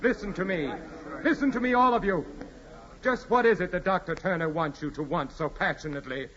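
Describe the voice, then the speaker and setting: An actor playing a proud, imperious aristocratic landowner with formal, measured speech in a 1940s radio drama. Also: male voice, sits high, crowd noise, close to an everyday voice. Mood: imperious, cold. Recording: muffled 1940s radio recording with music.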